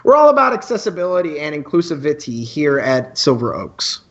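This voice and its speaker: A man's voice: on the high side, big pitch swings, brisk, a bit bright, lo-fi sound. A man playing an earnest, polite student presenting a campus radio news show in a radio play, mixing mock-formal announcements with dry asides. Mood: upbeat announcing. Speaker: radio co-host character.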